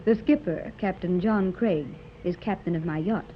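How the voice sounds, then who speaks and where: A woman's voice: on the low side, moderate pace, natural voice. An actress playing a wealthy, gracious and anxious mother in a 1930s radio serial, earnest and refined. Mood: gracious, composed. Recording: dull, noisy 1930s radio recording.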